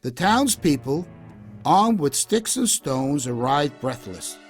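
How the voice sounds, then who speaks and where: A man narrating a scripted children's story in an expressive, deliberate way with clear phrasing. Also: fairly high pitch. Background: background music.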